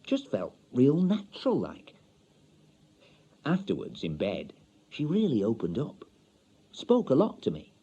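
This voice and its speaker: An actor playing a chatty, wistful older Yorkshire bachelor in a radio monologue, colloquial, confiding and droll. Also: male voice, mid-range voice, huge pitch swings, unhurried, long pauses, dark tone, husky, lo-fi sound, exaggerated voice. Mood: fond, amused. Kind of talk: dramatic monologue, radio short story.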